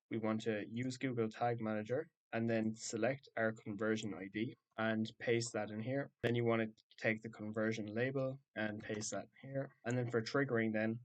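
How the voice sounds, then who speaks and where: Low, even-toned man giving a tutorial. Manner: instructional, matter-of-fact. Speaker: tutorial presenter. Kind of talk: step-by-step software tutorial narration.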